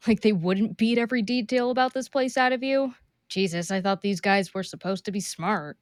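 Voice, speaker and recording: An actress playing a scornful, impatient resistance agent in an audio drama, sarcastic and exasperated. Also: female voice, mid-range voice, normal speed, dry recording, clean studio sound, lightly acted.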